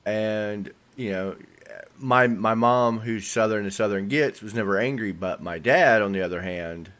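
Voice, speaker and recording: Low-pitched guy hosting a podcast, jokey and rambly, from the south. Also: a little slow, a bit bright. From American South. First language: not English. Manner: joking, rambling, drops into mock accents. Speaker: podcast host. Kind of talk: casual podcast chat.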